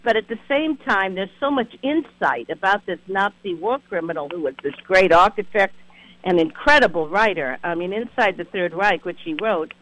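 A man's voice: high voice, lively intonation, normal speed, bright, forceful tone, low-quality recording. A man co-hosting a radio interview, effusive and emotional, with many affirming hums. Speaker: radio co-host. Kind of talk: interview conversation.

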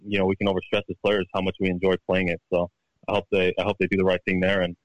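Halting soft-spoken golfer, lower voice. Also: male voice, completely flat delivery, no room sound, muffled recording. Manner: halting, repeats words while searching for them. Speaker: professional golfer, guest. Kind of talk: casual interview answers. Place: at home.

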